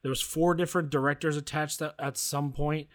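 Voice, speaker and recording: A man's voice: fairly high pitch, steady tone, brisk. A man co-hosting a podcast, talking casually about films and often searching for his words. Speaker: podcast co-host. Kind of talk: casual film discussion.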